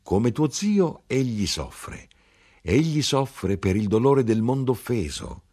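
A mournful little old man, solemn and brooding, whose husky voice lifts and swells as he preaches about his sorrows, as a man reading the story puts him on. His pitch roams widely. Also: sing-song, slow, mellow, exaggerated voice.

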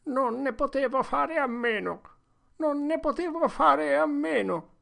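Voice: sits high, lively intonation, a little slow, creaky, sharp tone, pitched above the performer's own voice, exaggerated voice. A narrator doing a sobbing, terrified accused man. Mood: pleading, sobbing. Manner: tearful, pleading, broken. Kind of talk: audiobook character voice.

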